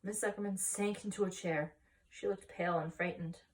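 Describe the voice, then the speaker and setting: A woman's voice: on the low side, steady tone, normal speed. A woman reading a children's storybook aloud as a story-time narrator. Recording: voice distant from the mic.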